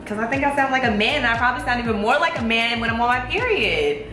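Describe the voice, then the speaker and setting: A young woman with a low, raspy voice, chatting playfully as co-host. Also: expressive, quick pace, a bit bright. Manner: playful, laughs often. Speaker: guest co-host. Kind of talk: casual chat. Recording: roomy echo with music behind.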